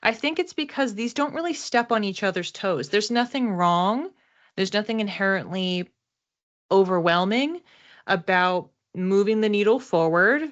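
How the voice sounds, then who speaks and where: A woman's voice: mid-range voice, expressive, moderate pace, muffled recording. A woman talking conversationally and reflectively as a psychic reader, explaining things casually to her listeners and stressing key words. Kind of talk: casual explanatory card reading to camera.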